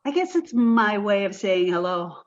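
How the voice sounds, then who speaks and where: An actress playing an apologetic, grieving forty-three-year-old artist in a play reading, with a halting, emotional delivery. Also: female voice, medium pitch, very animated, lo-fi sound, close to an everyday voice. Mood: apologetic, awkward.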